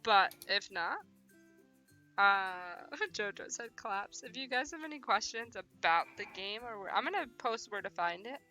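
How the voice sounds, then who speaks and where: A woman livestreaming a game in a casual, excitable, slangy way, gushing and expressive. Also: mid-range voice, measured pace, faint music behind. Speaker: streamer playing a visual novel and reading it aloud.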